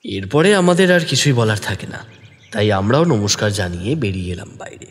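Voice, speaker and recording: A man narrating a detective story in the first person, expressive and deliberate, also speaking the detective's lines. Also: medium pitch, long reverb tail, slight background noise. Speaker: narrator who also voices the young detective.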